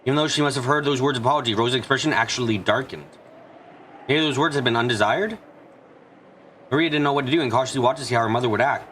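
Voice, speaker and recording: A man's voice: mid-range voice, fast, fairly bright tone, a little hiss or hum, poor audio. A man reading scripted narration for a visual novel in a storytelling role.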